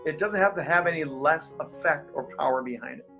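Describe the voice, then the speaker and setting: A man with a stutter talks as a pastor and coach in an interview, energetic and quick to laugh. His voice sits a little high for a man. Faint music plays behind him. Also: vocal fry. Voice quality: has a stutter. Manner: energetic, animated, laughs easily. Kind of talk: interview guest, casual talk.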